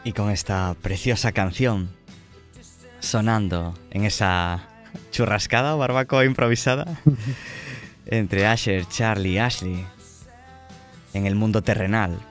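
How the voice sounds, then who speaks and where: A man acting as game master, closing a tabletop campaign and thanking his players and viewers. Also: fairly low pitch. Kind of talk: narrating and thanking players on a live stream. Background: faint music.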